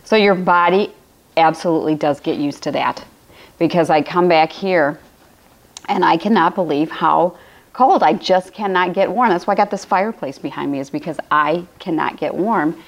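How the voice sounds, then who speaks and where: A woman speaks in a low, raspy voice that keeps cracking. She gives a spiritual talk on a live stream from home, circling back over her points and restarting her sentences as she goes. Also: normal speed. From Illinois, USA. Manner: rambling, repetitive phrasing with frequent restarts. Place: home room with a fireplace, indoors.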